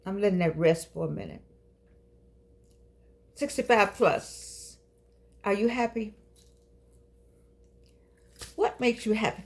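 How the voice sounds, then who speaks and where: An older woman hosting her own show, talking in a playful, preachy, coaxing way and drawing out phrases for effect. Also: a little high. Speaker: host. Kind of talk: casual talk show monologue. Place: home studio with keyboard.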